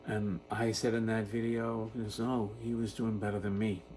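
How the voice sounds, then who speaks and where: A man in his fifties giving an unscripted personal monologue in a halting, self-reflective way. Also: on the low side, little pitch movement, darkish tone. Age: 50s. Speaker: video creator talking about personal issues. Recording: noticeable background noise.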